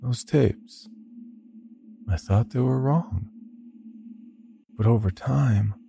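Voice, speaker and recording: A male narrator plays a bleak young man obsessed with dark tapes in an audio horror story. His delivery is curt and fervent, lifted in pitch, with a dark tone. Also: drawn-out words, creaky, faint music behind, heavily acted. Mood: bleak conviction.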